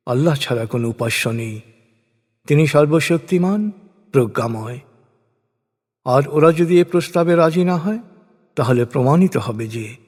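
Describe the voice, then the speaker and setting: A man narrating translated scripture as solemn scripted prose, formal and declamatory, in measured short phrases. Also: medium pitch, a little mellow, breathy edge. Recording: long hall-like reverb.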